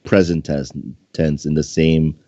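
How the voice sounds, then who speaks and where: Man, very low voice, amateur story reading, casual asides, stumbling, dull roomy recording. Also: little pitch movement, measured pace, mellow. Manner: stumbles over text and comments on it. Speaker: reader of fan fiction.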